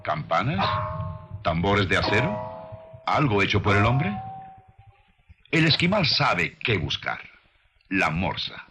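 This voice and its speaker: A man delivering scripted narration in a deliberate, didactic way, with the rhetorical delivery of a preacher. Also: on the low side, sing-song, over music.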